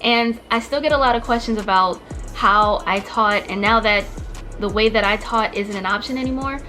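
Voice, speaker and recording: A woman's voice: medium pitch, moderate pace, bright, forceful tone, soft background music. A woman in her twenties talking casually to camera, chatty and full of restarts. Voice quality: chatty, many likes and restarts. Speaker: vlogger, former teacher.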